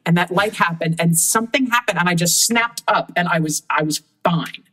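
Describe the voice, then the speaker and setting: A woman with a deep voice, a guest telling lively anecdotes in casual conversation and laughing often. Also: normal speed. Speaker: guest puppeteer.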